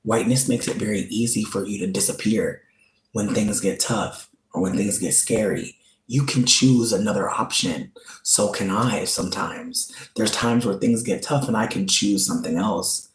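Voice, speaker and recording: A man's voice: lowish voice, normal speed, roomy sound, slight background noise, poor audio. A man hosting his own channel, talking straight to viewers in an earnest, pleading way and often repeating himself. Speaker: channel host. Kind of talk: direct-to-camera commentary.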